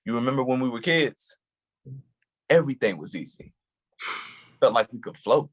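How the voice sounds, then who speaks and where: An actor playing a hurt, defensive male police officer in a staged play reading, touchy and quick to snap back. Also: mid-range voice, lively intonation, fairly bright tone.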